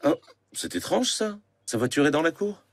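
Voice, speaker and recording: A man voicing a kindly, busy father in a cartoon, expressive and affectionate. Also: fairly high pitch, moderate pace, gravelly. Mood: puzzled. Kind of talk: French animated series dubbing.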